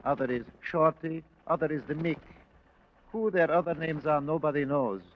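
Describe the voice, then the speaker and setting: Actor as wary informer, dull old recording. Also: male voice, a little high, lightly acted. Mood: reluctant. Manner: halting, stilted broken English. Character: wary, deferential male underworld informer nicknamed the Count. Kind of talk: 1950s radio drama acting. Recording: dull vintage radio recording, faint noise.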